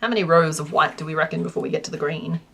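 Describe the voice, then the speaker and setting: A woman's voice: sits low, racing, vocal fry, background noise. Chatty woman crochet streamer. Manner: chatty, rambling, lots of "like" fillers; reads chat aloud and counts stitches quietly. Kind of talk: casual livestream chat while crocheting.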